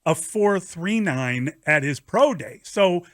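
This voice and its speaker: A man's voice: a little high, expressive, slow pace, a bit bright, dry recording. A man hosting a sports radio show, talking through football in a conversational style.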